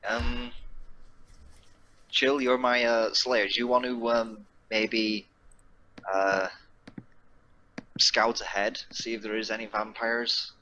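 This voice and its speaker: A man's voice: mid-range voice, flat delivery, takes it slowly, long pauses, bright, forceful tone, rough recording. A man playing a tabletop roleplaying game, chatting casually with the group. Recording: some noise, keyboard typing behind.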